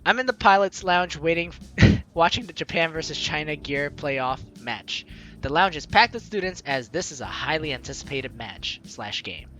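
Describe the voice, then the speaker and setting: A man's voice: a little high, lively intonation, normal speed, fairly bright tone, faint music behind. A man hosting a gaming video, playfully mixing jokey commentary with theatrical read-aloud narration.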